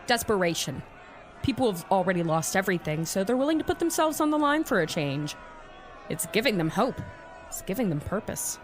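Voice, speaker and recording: Woman acting resolute resistance leader, expressive delivery. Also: mid-range voice, fairly quick, a little hiss or hum, lightly acted. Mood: rousing conviction. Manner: composed, commanding, rousing. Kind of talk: post-apocalyptic audio drama acting.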